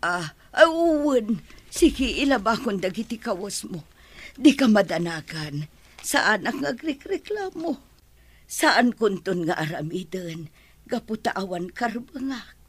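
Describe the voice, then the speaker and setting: An actress playing a meek, overworked housemaid who speaks softly and tearfully, with an Ilocano accent. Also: female voice, mid-range voice, big pitch swings, a little slow, breathy edge. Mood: hurt. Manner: soft, tearful, submissive.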